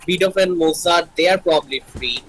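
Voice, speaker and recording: A man's voice: on the high side, fairly quick, a crowd in the background, low-quality recording. A man chatting casually with friends on a livestream, in short informal remarks. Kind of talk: casual group chat on a livestream.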